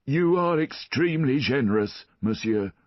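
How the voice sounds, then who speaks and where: A man's voice: mid-range voice, expressive, normal speed, no room sound, voice pushed up, lightly acted. A man voicing a fawning, scheming poor man who pleads and whines. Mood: pleading. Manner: whining, pleading. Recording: dull dry lo-fi.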